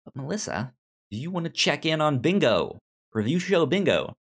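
A man hosting a casual review chat, speaking haltingly and often repeating or restarting his words. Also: on the high side, big pitch swings, a bit bright, slightly rough. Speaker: podcast host.

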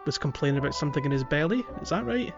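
A man's voice: a little high, animated, racing, creaky, music in the background. Hesitant man on slightly muffled audio. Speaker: podcast co-host. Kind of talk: casual video game discussion.

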